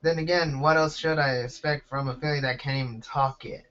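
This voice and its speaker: Bright-voiced man reading a story, lo-fi. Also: medium pitch, fairly even intonation, brisk. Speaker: amateur fan fiction reader. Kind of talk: reading a first-person story aloud. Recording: dull lo-fi home recording.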